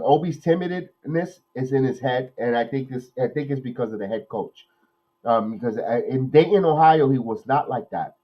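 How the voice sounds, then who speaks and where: A man, nasal from a cold, hosting a watch-along podcast, calling plays with excitement and then rambling through his opinions. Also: medium pitch, lo-fi sound. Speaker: podcast host doing live play-by-play. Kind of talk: watch-along game commentary and chat.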